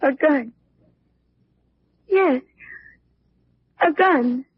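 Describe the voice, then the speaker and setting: A woman's voice: high-pitched, drawn-out words, slight background noise. An actress playing a frightened young woman who speaks falteringly, as if in a trance, in an old radio thriller. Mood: dazed. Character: frightened, dazed young woman under a villain's spell. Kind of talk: 1940s radio thriller acting. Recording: muffled old-time radio recording.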